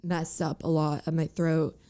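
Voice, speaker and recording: Raspy young woman with voice cracks. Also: sits low, little pitch movement, mellow. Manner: halting, many fillers and "like"s. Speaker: guest. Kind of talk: candid personal interview.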